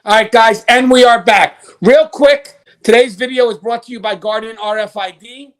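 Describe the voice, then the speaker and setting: A man's voice: very high voice, normal speed. A man hosting an interview show, presenting to his audience and addressing guests. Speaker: talk show host. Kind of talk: upbeat show hosting with sponsor read and interview questions.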